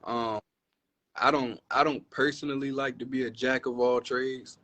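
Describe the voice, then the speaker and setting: Deep-voiced man musician, relaxed. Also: normal speed. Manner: relaxed, reflective, says "you know" often. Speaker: interviewed musician. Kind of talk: casual interview answers.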